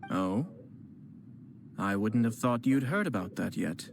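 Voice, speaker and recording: A man voicing a composed young noble clan head who speaks formally and in a measured way, with gentle teasing. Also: fairly low pitch, expressive, vocal fry, a little hiss or hum. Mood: courteous calm. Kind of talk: game voice acting. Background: game music.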